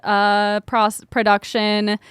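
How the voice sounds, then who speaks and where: A woman's voice: medium pitch, monotone, slow, a bit bright, clean tone, dry recording, studio quality. Chatty young woman, tired podcast voice. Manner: chatty, laughs often, trails off with fillers. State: tired, voice a bit worn. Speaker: podcast co-host. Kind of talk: casual chat.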